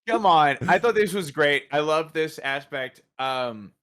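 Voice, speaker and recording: A man's voice: a little high, animated, fairly bright tone, clean tone. Rambling American man chatting. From United States. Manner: rambling, halting, enthusiastic with repeated words. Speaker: podcast co-host. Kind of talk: casual chat about a TV show.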